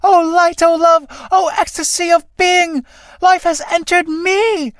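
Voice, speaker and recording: A woman's voice: extremely high pitch, normal speed, no room sound, clean studio sound. A woman playing a newborn chrysalis moth in a poetic stage drama, declaiming grandly like an ecstatic prophetess.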